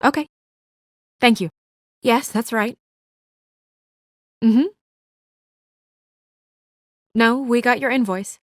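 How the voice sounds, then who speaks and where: An actress playing a brisk, guarded young female office assistant in a noir video game drama, speaking in a crisp, businesslike, dry manner. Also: a little high, no echo. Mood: professional, polite.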